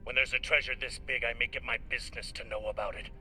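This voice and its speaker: An actor playing a desperate, self-serving, profane spaceship captain in a dramatic sci-fi game. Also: male voice, mid-range voice, little pitch movement, quick pace, sharp tone, faint music behind, low-quality recording. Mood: urgent bargaining. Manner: gruff, profane, pleading. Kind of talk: dramatic video game acting.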